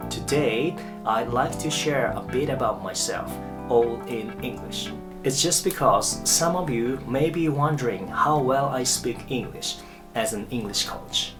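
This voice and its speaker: A man in his thirties from Japan, an English coach narrating his own vlog in careful, measured English. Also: medium pitch.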